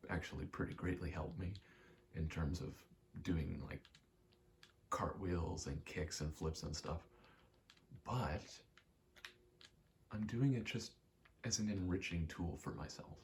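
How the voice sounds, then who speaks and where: A soft-spoken man rambling casually in ASMR style, hesitant and pausing often between short phrases. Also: low-pitched, slight background noise. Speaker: ASMR vlogger and aspiring actor.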